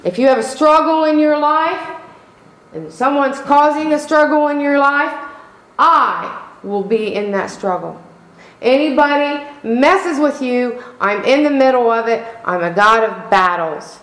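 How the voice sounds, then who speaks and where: Slow, emphatic woman preaching in an echoey church. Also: high voice, animated, fairly bright tone. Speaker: pastor. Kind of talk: sermon. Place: church sanctuary. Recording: echoey room.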